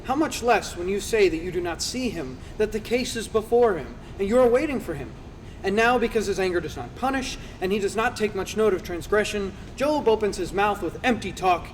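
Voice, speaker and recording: A man's voice: high-pitched, expressive, brisk. A man playing an earnest male counselor who rebukes and lectures in a declamatory dramatized scripture reading. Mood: admonishing. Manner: declamatory, preaching. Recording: reverberant hall, faint background noise.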